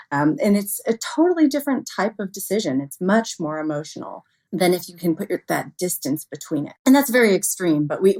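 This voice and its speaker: Low-pitched woman explaining as an expert guest. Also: a little mellow. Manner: explains with everyday examples and hypotheticals. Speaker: interview guest, expert on human error in cybersecurity. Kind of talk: conversational expert explanation in an interview.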